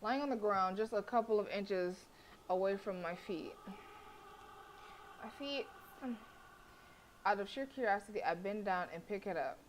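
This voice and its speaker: A woman's voice: mid-range voice, normal speed, long pauses, a little hiss or hum, low-quality recording. A woman streamer reading a horror visual novel aloud, breaking off into joking, swearing asides. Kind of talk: reading game text aloud with asides to chat.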